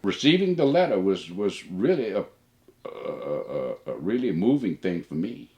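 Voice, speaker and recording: A man's voice: low voice, big pitch swings, a little slow, darkish tone. An older man, a father, reading a personal letter aloud and reflecting on it.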